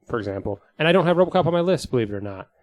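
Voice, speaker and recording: A man's voice: on the high side, very animated, racing. A man hosting a casual, unscripted podcast chat in a jokey, enthusiastic, sweary way. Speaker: podcast host.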